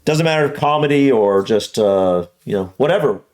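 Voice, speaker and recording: A man's voice: medium pitch, animated, moderate pace, a little mellow. A man with an American accent hosting a reaction video, talking in a casual, rambling, opinionated way and often repeating himself. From United States. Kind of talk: casual conversational commentary.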